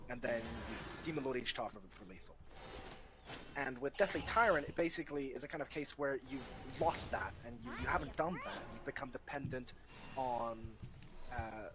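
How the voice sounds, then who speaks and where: A man being coached, struggling to put his reasoning into words with halting restarts; English is not his first language. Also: mid-range voice, rough recording. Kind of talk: casual conversation.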